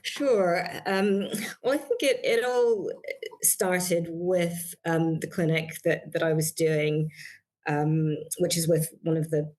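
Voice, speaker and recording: Low, flat-voiced woman psychiatrist, measured. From Barbados. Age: middle-aged. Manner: measured, many fillers and restarts. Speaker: guest psychiatrist. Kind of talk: interview answers.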